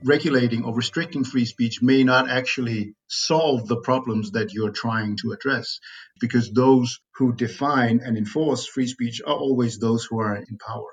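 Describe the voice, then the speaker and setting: A man making an explanatory argument in a measured, articulate, formal way. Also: mid-range voice, steady tone. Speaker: free speech advocate, guest. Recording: dull, lo-fi call audio.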